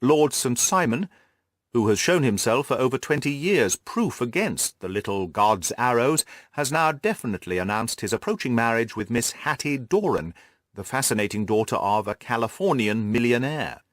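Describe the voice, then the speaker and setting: A man voicing an earnest, orderly gentleman companion in an audiobook, measured and deliberate in manner. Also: medium pitch, animated, dry recording, studio quality, lightly acted. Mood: measured, informative. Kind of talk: audiobook character voice.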